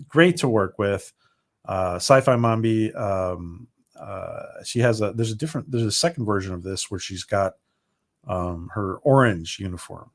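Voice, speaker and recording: A man hosting a casual livestream, rambling with many ums and restarts as his voice starts to go hoarse. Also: on the low side. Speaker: livestream host. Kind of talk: casual livestream chat reading viewer comments.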